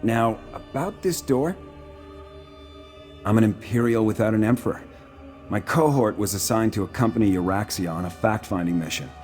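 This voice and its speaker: An actor playing a proud, shrewd Imperial military commander in a video game, speaking formally and with dry self-assurance. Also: male voice, lowish voice, moderate pace, darkish tone, natural voice. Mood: resigned, matter-of-fact. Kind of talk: video game character dialogue. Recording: music playing behind the voice.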